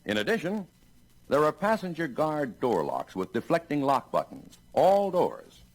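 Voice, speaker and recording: A man with an American accent narrates like a 1960s industrial film announcer. His pitch moves in lively swings, and his delivery is measured and emphatic, as if presenting a product to salesmen.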